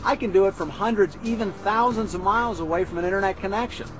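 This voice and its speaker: An American man in his thirties presents an infomercial in a high voice. He is emphatic and persuasive, working through a scripted pitch with music playing behind him. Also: fast talker. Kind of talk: scripted sales pitch to camera.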